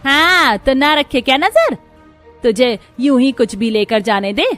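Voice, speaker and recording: A woman's voice: medium pitch, fairly quick, soft background music, natural voice. A woman voicing a harsh, bossy, scornful older mother-in-law in a cartoon story. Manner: scolding, bossy.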